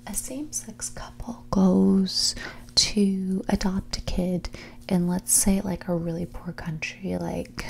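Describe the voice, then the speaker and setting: A woman playing a mean, snobby rich young woman in a whispered and soft-spoken ASMR roleplay, talking in a breathy, condescending, bratty way. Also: on the low side, slight background noise. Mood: sarcastic explaining. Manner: condescending, bratty, dismissive, drawn-out casual phrasing. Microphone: close ASMR microphone.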